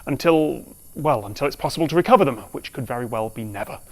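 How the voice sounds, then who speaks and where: A man's voice: mid-range voice, expressive, fast talker, background noise. A man with a British accent narrating a documentary-style video in a dry, wry, matter-of-fact way. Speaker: video presenter.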